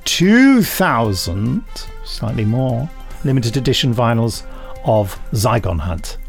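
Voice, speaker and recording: A British man with a gravelly voice and a dry, wry manner, chatting casually as a podcast co-host. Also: mid-range voice, big pitch swings, slow pace, soft background music.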